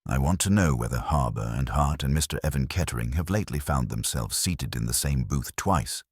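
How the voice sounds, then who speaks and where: A man's voice: extremely low pitch, expressive, fairly quick, slightly rough, dry recording, clean studio sound, voice pushed down, heavily acted. A man voicing a pompous, imperious older master detective who speaks in formal, ornate, commanding phrases. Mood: stern, resolute.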